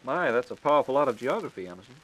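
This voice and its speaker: American man, radio host, quick delivery, lively intonation, scripted 1940s style, faint noise. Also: mid-range voice, low-quality recording.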